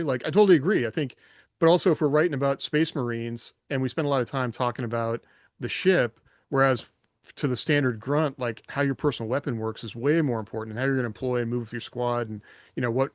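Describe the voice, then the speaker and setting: A man's voice: medium pitch, dry recording, studio quality. A man co-hosting a podcast in casual discussion, talking things through conversationally with questions and comparisons. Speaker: podcast co-host.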